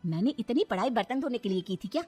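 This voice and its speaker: A woman's voice: on the high side, expressive, fast talker, warm tone, husky. A woman voicing an arrogant, sly young educated daughter-in-law in a Hindi family cartoon, haughty and mocking. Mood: scornful, irritated. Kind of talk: animated Hindi family story dialogue. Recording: music behind the voice. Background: background music.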